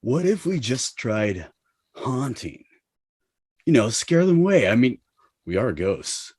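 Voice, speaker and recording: A man's voice: medium pitch, sing-song, slow, darkish tone, breathy edge, no room sound, studio quality, exaggerated voice. A man playing a smug, casually scheming ghost in a sitcom table read. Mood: self-pleased.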